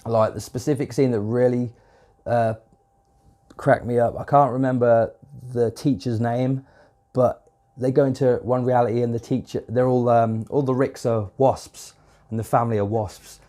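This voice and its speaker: A man's voice: mid-range voice, fairly even intonation, moderate pace, warm tone. A man with a cold and a stuffed-up nose, casually reviewing a show episode in a rambling way, with lots of fillers and swearing. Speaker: video reviewer.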